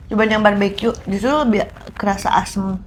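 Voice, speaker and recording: A woman's voice: medium pitch, steady tone, measured pace, slight background noise. A young woman with a mid-range voice chats to viewers in a giggly, casual way. A faint ambience sits underneath her voice. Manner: chatty, giggly, talks to viewers. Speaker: co-host. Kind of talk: casual chat.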